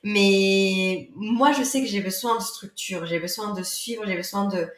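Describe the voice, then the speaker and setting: A woman's voice: medium pitch, a little slow, reverberant. A woman, not a native French speaker, being interviewed on a podcast as an author, talking hesitantly with many fillers and restarts. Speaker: guest author.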